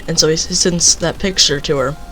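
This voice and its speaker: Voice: medium pitch, brisk, fairly bright tone, soft background music. A young person telling a casual, rambling story, leaning on filler phrases. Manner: rambling, repeats filler phrases like "basically" and "you know".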